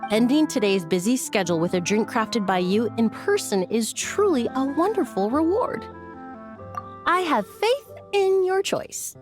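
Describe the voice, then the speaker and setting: A woman's voice: a little high, expressive, unhurried. An actress playing a refined, courteous young woman in a video game, speaking with polite, precise formality. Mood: gracious. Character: refined, courteous young female perfumer with discerning tastes. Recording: faint music behind the voice. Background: faint game music.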